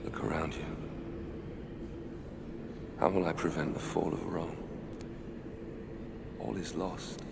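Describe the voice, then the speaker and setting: Low-voiced, stern Roman general. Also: male voice, steady tone, takes it slowly, creaky, pauses often, heavily acted. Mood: grim despair. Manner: commanding, grave, forceful oratory. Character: stern, battle-hardened Roman general rallying his troops. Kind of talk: dramatic video game acting. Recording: battle noise behind the voice.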